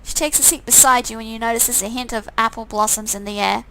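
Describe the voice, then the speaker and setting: A woman's voice: fairly high pitch, steady tone, quick pace, fairly bright tone, smooth, low-quality recording. A woman reading a visual novel game aloud, with sarcastic, amused asides in a casual style. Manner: sarcastic, amused commenting between read lines.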